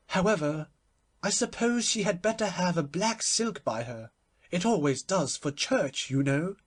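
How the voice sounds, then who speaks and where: A man's voice: high voice, heavily acted. A man voicing an imperious, chattering society hostess in an audiobook, emphatic and airily opinionated. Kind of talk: literary audiobook character voice.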